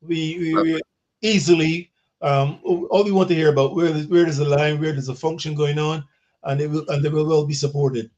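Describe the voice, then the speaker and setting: A man's voice: on the high side, fairly even intonation, moderate pace, darkish tone. Barbadian man on a dull video call. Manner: hesitant, many ums. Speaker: guest. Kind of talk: casual video call chat. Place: home in England.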